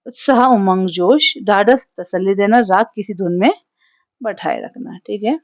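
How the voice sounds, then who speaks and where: Hindi-accented lady teacher going through a poem line by line, mid pitch, dry close sound. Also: moderate pace, a little mellow, no room sound, dull audio. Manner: explanatory, frequent "theek hai" check-ins.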